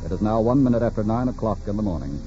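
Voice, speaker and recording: A man narrating a 1940s radio crime drama as announcer, grave and dramatic in measured phrases. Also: fairly low pitch, expressive, music in the background. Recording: muffled 1940s radio recording.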